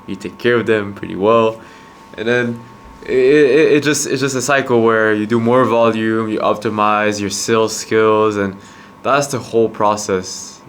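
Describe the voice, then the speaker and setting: Brief: young man, slightly low voice, earnest vlog monologue, stop-start phrasing, faint room ambience. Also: fairly even intonation, slight background noise. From Quebec, Canada. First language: Khmer. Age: young adult. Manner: halting, many restarts and repeated words, earnest. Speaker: vlogger, entrepreneur. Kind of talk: reflective casual monologue to camera.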